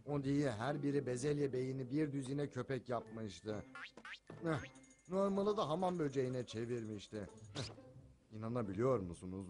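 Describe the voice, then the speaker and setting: A man's voice: mid-range voice, a little mellow, lightly acted. A man voicing a sardonic, food-loving cartoon cat with a wry, self-satisfied manner, in a dubbed cartoon. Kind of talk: cartoon dub acting. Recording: music behind the voice.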